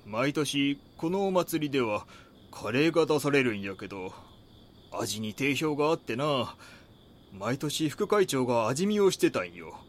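An actor playing a gruff, wary older neighbourhood chief with a Kansai accent in an audio drama. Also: male voice, medium pitch, very animated, measured pace, a little hiss or hum.

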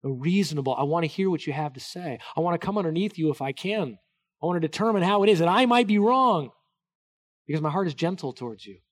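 A middle-aged man preaching a sermon, earnest, dropping to a hush and then rising to emphatic peaks, with many restarts. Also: fairly high pitch, animated, quick pace. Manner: earnest, wide swings between soft hushed and raised emphatic delivery; many restarts and repeated words. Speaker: pastor.

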